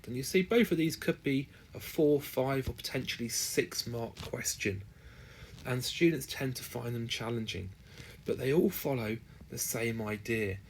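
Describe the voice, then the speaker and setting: A man's voice: fairly low pitch, darkish tone. A man giving an explanatory lesson as a teacher, talking informally and stressing key words. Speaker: physics teacher.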